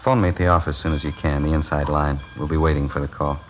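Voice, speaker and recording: No-nonsense police captain in an old radio show, deep, quick, dull hissy sound. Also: male voice, flat delivery. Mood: businesslike. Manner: brisk, matter-of-fact orders. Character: calm, businesslike police captain. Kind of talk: 1950s radio drama acting.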